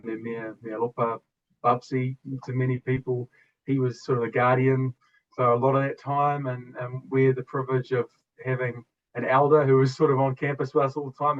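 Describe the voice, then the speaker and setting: A man in his twenties with a New Zealand accent, a guest in an interview speaking reflectively and earnestly with soft laughs. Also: medium pitch, highs cut off, slight background noise. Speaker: guest, former student. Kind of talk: conversational interview.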